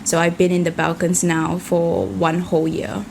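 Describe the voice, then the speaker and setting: A young woman from Malaysia talks as a guest in a casual podcast interview. She is friendly and chatty and often pauses with "um" and "uh". Faint background noise sits under her voice. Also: on the low side, monotone, creaky. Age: young adult. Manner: friendly, chatty, many fillers.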